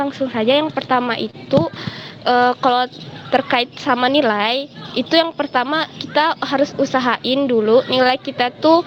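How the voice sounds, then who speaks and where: A woman's voice: high-pitched, a little hiss or hum. A woman, answering as a student guest in a chatty, explanatory way. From Jambi, Indonesia. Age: early 20s. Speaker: student guest sharing exchange experience. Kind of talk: casual informative interview answers.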